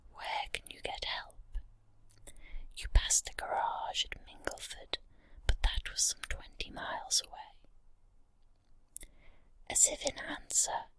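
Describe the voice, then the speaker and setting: Whispering woman narrating slowly. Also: extremely high pitch, sharp tone. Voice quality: breathy unvoiced whisper. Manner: slow, deliberate, short phrases with pauses. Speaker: ASMR narrator. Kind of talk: whispered ASMR guided visualization, scripted second-person story narration.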